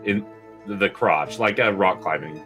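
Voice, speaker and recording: A man's voice: sits low, measured pace, pushed and bright, soft background music. A man playing a merchant in a tabletop game, joking casually with the group. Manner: joking, laid-back. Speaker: player.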